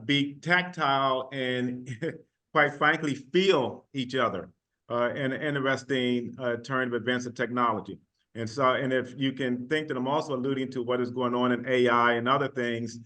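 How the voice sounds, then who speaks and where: Bright-voiced American man speaking on a panel. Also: mid-range voice. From United States. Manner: earnest, emphatic, drawn-out stresses, frequent "uh". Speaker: university vice chancellor, panelist. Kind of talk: panel talk over video call.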